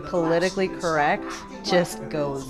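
Woman, stage performer, lower-middle register, enthusiastic interview read over music. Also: moderate pace. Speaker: stage actor. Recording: music behind the voice.

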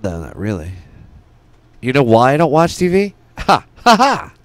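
Male podcast co-host, animated, questioning, conversational, close dry mic. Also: medium pitch, normal speed, no echo. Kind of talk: casual chat, playful challenging. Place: small podcast studio room. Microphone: close studio mic with headphones.

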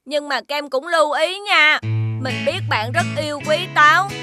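Woman voicing a gentle little green cartoon creature. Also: high voice, normal speed, music in the background, lightly acted. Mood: advising. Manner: gentle, advising. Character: small green magical creature, wise friend. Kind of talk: cartoon voice acting.

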